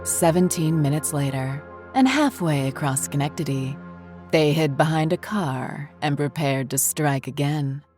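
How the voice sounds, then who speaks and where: Woman reading a horror story, slow and deliberate, low voice, bit of music behind. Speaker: narrator. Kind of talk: scripted horror story narration.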